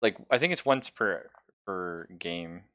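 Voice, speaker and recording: A man acts as game master at a casual tabletop session. His voice sits a little low and has a bright, forward edge, recorded very dry. He thinks aloud informally and swears now and then. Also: expressive, takes it slowly, no room sound.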